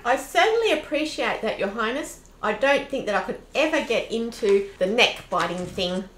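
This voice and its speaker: A woman's voice: a little high, expressive, moderate pace, a bit bright. A woman playing a polite, squeamish guest among vampires in an amateur radio play. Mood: polite, upbeat. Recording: echoey room, faint noise.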